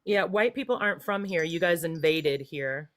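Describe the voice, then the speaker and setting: A woman's voice: lowish voice, expressive, normal speed. A woman caller in a heated call-in debate, cutting and sarcastic. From Texas.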